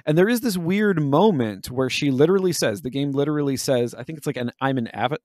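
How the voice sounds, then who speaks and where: A man's voice: fairly high pitch, expressive, fast, darkish tone, no echo. Man in analytical podcast discussion, dry sound. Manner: hesitant, searching for words with self-corrections. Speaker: podcast co-host. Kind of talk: analytical discussion of a video game.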